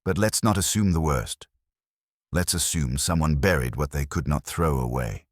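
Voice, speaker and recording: Man acting a very deep, thoughtful police inspector. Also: no room sound, clean studio sound. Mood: dry, measured. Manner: quiet, deliberate, reflective. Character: softly spoken, thoughtful male police inspector, dry and measured.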